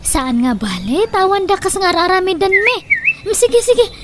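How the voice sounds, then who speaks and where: A woman's voice: very high-pitched, very animated, normal speed, noisy background. A woman playing an evasive young working wife in a radio drama, offhand and dismissive. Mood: breezy, evasive. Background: music.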